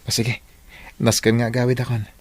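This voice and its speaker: An actor playing a casual, evasive young boyfriend in a radio drama, with an Ilocano accent. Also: male voice, mid-range voice, completely flat delivery, moderate pace, close to an everyday voice. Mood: coaxing.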